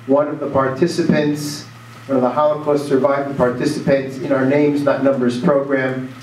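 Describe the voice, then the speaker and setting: Man, formal school introduction, level monotone, slightly high voice, distant in echoey hall. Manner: measured, formal, even delivery. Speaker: school program presenter. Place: school auditorium. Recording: echoey hall, distant mic, faint noise.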